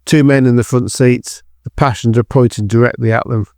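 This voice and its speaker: A man's voice: medium pitch, steady tone, brisk, creaky, darkish tone, no echo. Dry, close male thriller narrator. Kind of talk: scripted dramatic narration of a thriller.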